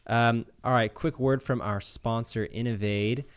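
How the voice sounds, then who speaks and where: A man's voice: medium pitch, fairly even intonation, a little slow, smooth. A man hosts a gaming podcast in a mid-range voice. His style is casual chat, informal and joking, like talk among friends. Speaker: podcast host.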